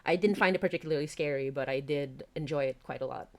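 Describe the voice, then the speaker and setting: Giggly woman hosting a livestream, lowish voice. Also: fast, a crowd in the background. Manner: giggly, exclamatory. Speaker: podcast creator and stream host. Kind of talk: casual livestream chat, reading out audience comments.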